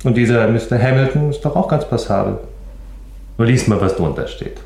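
An actor playing a nosy, wry, persistent newspaper reporter in a German crime radio play, sly and probing. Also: male voice, mid-range voice, a little fast, creaky, a little mellow, roomy sound, natural voice. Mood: sly, insistent.

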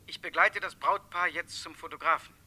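A man's voice: a little high, fairly even intonation, normal speed, heavy background noise, natural voice. An actor playing a serious, authoritative police detective in a crime radio play, firm and businesslike as he gives orders. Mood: urgent, firm.

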